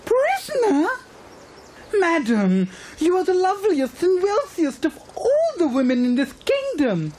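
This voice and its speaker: A woman voicing a deferential, expressive maidservant in a cartoon, with a dramatic way of talking. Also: extremely high pitch, unhurried, slight background noise, exaggerated voice, low-quality recording. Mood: concerned, flattering. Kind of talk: cartoon voice acting.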